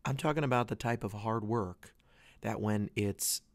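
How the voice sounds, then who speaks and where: Podcast host, man, 30s: hoarse from losing his voice, low gravelly tone, loose and earnest. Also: moderate pace, no echo. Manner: rambling, earnest, many restarts. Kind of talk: casual solo podcast talk. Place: away from home, dry small space.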